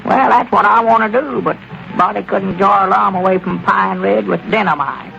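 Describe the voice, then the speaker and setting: Folksy country storekeeper, high gravelly voice, old lo-fi radio sound. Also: male voice, normal speed, pushed and bright, faint music behind, full character voice. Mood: easygoing. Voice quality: folksy rural drawl with plain country grammar. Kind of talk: 1930s radio comedy acting.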